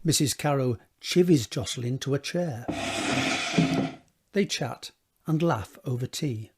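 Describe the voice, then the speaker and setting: Husky male drama narrator. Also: mid-range voice, animated, a little slow, darkish tone. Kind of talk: scripted narration of stage directions.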